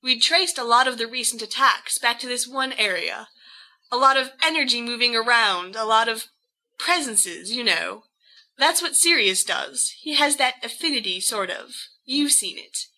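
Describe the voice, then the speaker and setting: A woman's voice: on the high side, expressive, moderate pace, pushed and bright, full character voice, low-quality recording. A woman voicing an apologetic young husband in an audiobook, nervous and hesitant, prone to rambling. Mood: explaining, hesitant.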